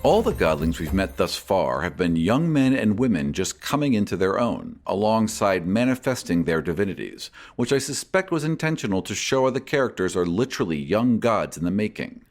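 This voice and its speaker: A man's voice: fairly low pitch, big pitch swings, normal speed, few pauses, a little mellow, soft background music. A man reading out fan questions and scripted answers as a podcast host and game master, in an articulate, expository way.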